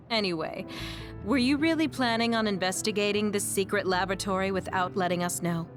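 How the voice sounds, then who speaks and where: A woman's voice: medium pitch, a little fast, over music, natural voice. Actress as a frank, protective young woman. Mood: concerned. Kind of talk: English anime game dub acting.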